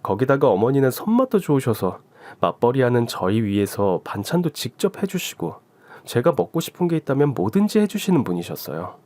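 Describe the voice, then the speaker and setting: A man narrating a story in the first person with a gentle reading manner. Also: mid-range voice, very animated, fairly quick, no room sound.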